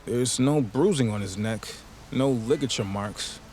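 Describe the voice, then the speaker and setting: An earnest, polite young patrolman played by a male actor speaks at a medium pitch in a measured, by-the-book way. It is game dialogue, set over noisy ambience. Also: a little mellow, close to an everyday voice. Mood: focused. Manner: measured, procedural. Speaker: uniformed police officer. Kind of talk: video game cutscene dialogue.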